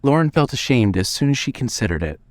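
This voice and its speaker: A man's voice: medium pitch, sing-song, fast, no echo, studio quality. A man narrating scripted suspense fiction as the storyteller of an audio drama.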